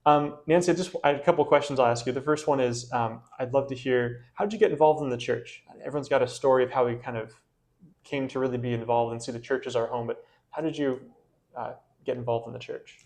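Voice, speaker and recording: A man's voice: medium pitch, fast talker, a little mellow. A man, a parish priest, hosting a casual interview, friendly and hesitant with many fillers.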